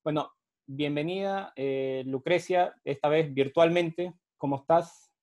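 A man's voice: on the high side, a little slow, darkish tone. A young man from Peru hosting a virtual history talk on a video call from a home study, with many fillers and restarts. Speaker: host and historian. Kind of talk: virtual panel conversation. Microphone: video call with earphone mic.

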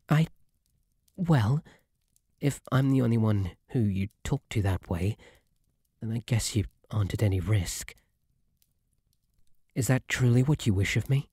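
A man acts out a shy male bunny butler with a British accent, soft and breathy. He talks slowly and formally, deferring and stammering in a flustered way. It is an intimate ASMR roleplay, recorded close and dry. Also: lowish voice, no room sound, heavily acted. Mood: shy, yielding. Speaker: roleplay audio performer. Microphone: close, dry microphone.

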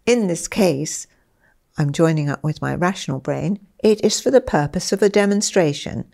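Older woman explaining, lively and animated. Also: fairly low pitch, normal speed, gravelly. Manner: animated, gestures a lot, acts out dialogue. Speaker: interviewee, former school head. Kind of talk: explanatory interview talk. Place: small study with bookshelves, indoors.

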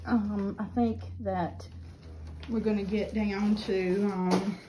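A middle-aged woman chatting casually about her seedlings in a small greenhouse, unhurried and rambling with many ums, filmed on a handheld phone. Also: mid-range voice, little pitch movement, noisy background. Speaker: flower farm vlogger. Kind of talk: casual gardening vlog. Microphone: phone camera held while filming.

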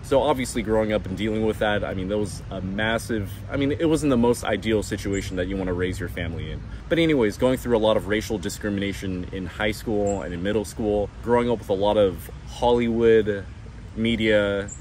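A man's voice: on the low side, fairly quick, a little mellow. A man in his thirties with an American accent, talking bluntly and casually to camera in a park. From California. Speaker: vlogger. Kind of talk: candid talk to camera. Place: sunny city park outdoors. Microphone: wireless clip-on mic, camera on tripod. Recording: outdoor park with traffic noise.

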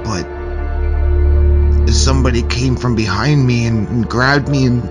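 Man acting a halting, frightened young victim. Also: medium pitch, loud music behind the voice, lightly acted. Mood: shaken, tearful. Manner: halting, stammering. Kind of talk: horror comic dub acting.

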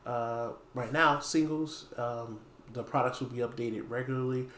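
Man, thirties, casual announcer, halting phrasing, fairly flat intonation, roomy echo. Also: mid-range voice. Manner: halting, pausing mid-phrase. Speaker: small game store owner and video host. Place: dim indoor room with wooden floor. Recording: echoey room, faint background noise.

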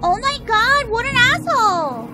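An excitable young woman streamer reacting live. Her voice is very high and leaps all over the place, with frequent cursing and shrieking outbursts. Music plays behind her. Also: unhurried.

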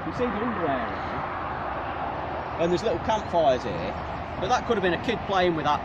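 A young man with an English Midlands accent, chatting excitedly while vlogging from a riverbank, full of tag questions. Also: a little high, moderate pace, highs cut off. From England. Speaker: magnet fishing vlogger. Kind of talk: casual outdoor commentary. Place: riverbank outdoors. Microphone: handheld or body camera. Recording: outdoor wind and traffic noise.

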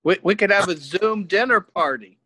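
Man, high pitch, bright forward tone, casual video-call conversation. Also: moderate pace, low-quality recording. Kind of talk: casual group chat.

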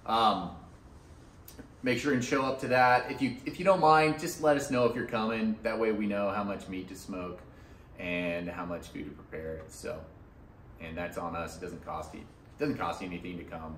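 A man in his thirties talks loosely to a camera about the river and the shop, with lots of ums and restarts and a teasing edge. His voice sits a little low. The sound is roomy and set back from the mic, with a faint hum underneath. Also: a bit bright, far from the mic. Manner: loose and chatty, teasing, with many fillers and restarts. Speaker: fly shop owner giving a fishing report. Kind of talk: casual fishing report to camera. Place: small fly shop with hats and rods on the walls. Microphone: camera a few feet away.

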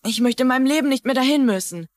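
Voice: sits high, fast talker, dry recording, natural voice. An actor playing an anxious, polite young schoolboy, hesitant and earnest, in a dubbed TV drama. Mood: anxious, pleading. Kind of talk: German-dubbed TV drama acting.

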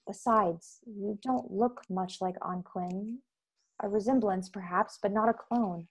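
A woman in her twenties playing an earnest, proud secret-society member in a science-fiction radio play, speaking in measured, formal phrases. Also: mid-range voice, slightly rough. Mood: disbelieving. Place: bedroom on video call. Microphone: earbud headset.

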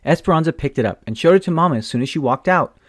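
A man reading a children's picture book aloud in a gentle, expressive storytelling manner. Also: a little high, very fast, dry recording, studio quality. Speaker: storytime reader.

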